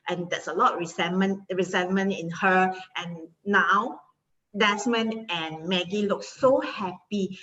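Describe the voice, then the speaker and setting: A woman hosting an online sharing session, talking in a halting way with many fillers and restarts. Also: on the low side, a bit bright. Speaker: host. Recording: distant, lo-fi online call audio.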